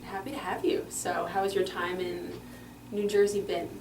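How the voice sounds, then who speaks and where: A woman's voice: lowish voice, level tone, normal speed. A woman interviewer asking questions in an upbeat, polite way. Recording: roomy, noisy, distant mic.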